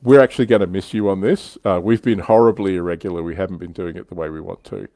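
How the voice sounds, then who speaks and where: Low, dry-sounding man hosting casually. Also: steady tone, quick pace, gravelly, mellow, no room sound. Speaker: livestream host. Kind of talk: casual hobby livestream chat.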